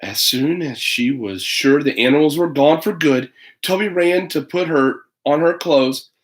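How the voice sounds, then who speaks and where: A man reading a children's picture book aloud in an animated, dramatic way, with chatty asides to a young listener. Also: on the high side, a little slow. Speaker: storybook reader.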